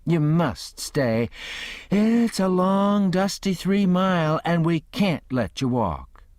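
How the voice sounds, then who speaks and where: A man voicing a hospitable old gentleman with a Southern accent, coaxing and kindly. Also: high-pitched, very animated, slow, dry recording, clean studio sound, pitched above the performer's own voice, full character voice. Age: older. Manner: warm, coaxing, hesitant when flustered.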